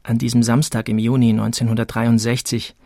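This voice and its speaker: A man's voice: mid-range voice, flat delivery, a little fast, creaky, a little mellow, dry recording, studio quality, natural voice. An actor playing an earnest father who tells his daughter family memories in a reflective manner, in a radio drama. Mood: earnest, reminiscing. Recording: music behind the voice.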